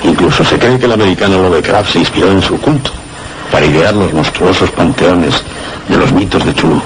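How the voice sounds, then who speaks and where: An actor playing an erudite, grave scholar in a Spanish radio suspense drama, speaking Castilian Spanish in a measured, didactic way. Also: male voice, low voice, noisy background, lightly acted. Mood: grave, ominous. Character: erudite male scholar friend, grave and explanatory.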